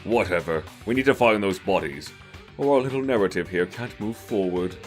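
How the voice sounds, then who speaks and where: A man's voice: sits low, expressive, moderate pace, faint music behind. A man playing a cold, haughty, impatient young male student in an anime game fan dub, with a condescending, commanding manner. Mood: cold, dismissive.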